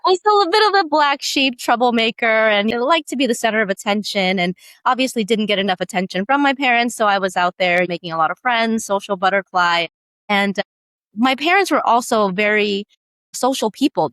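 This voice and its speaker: A woman in her thirties, raised in San Diego, shares her life story as a podcast guest. She talks in a lively, chatty way and laughs easily. Her voice sits at a middle pitch, and the sound is close and dry. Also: no echo. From Vietnam, raised in San Diego. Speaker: guest, beauty brand founder. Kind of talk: casual storytelling interview.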